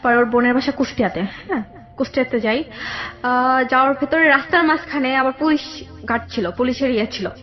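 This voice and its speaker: A young woman with a Bangladeshi accent telling a personal story conversationally, haltingly, often circling back over details. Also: high-pitched, lo-fi sound. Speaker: guest telling her life story.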